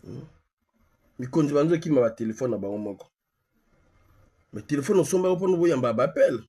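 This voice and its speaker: A man from Congo with a Congolese accent and a husky voice, speaking insistently as a live-stream host, repeating phrases and asking rhetorical questions. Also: medium pitch, animated, moderate pace, mellow. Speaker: online activist host. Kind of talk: live-stream monologue in colloquial speech, with a phone call.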